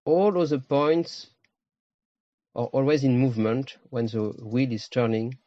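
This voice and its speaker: Low-voiced man guiding a meditation. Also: steady tone, dry recording, muffled recording. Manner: slow, pausing, short phrases. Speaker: meditation teacher. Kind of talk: guided meditation.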